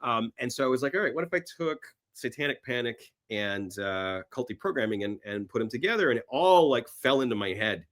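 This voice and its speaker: A man's voice: mid-range voice, expressive, a little fast, few pauses, a bit bright. A man being interviewed, telling stories casually in a rambling way and acting out imagined bits of dialogue. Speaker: podcast guest, screenwriter.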